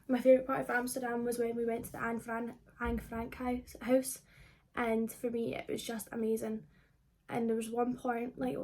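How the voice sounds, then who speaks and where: Mellow young Scottish woman chatting casually. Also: fairly high pitch. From Scotland. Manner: informal, rambling, frequent "like". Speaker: vlogger answering viewer questions. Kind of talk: casual chat to camera.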